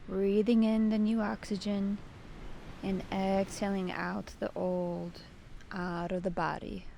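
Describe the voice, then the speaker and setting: A woman leading a guided meditation with gentle, soothing, unhurried instruction. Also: mid-range voice, level tone, gravelly, a little hiss or hum.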